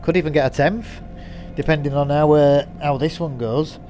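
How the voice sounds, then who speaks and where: A man's voice: fairly high pitch, fairly even intonation, normal speed, darkish tone, slight background noise. Bunged-up man, chatty. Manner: chatty, rambling, frequent ums. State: congested with a head cold. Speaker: gaming channel host. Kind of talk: casual intro to a gameplay video. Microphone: desk mic he switches off.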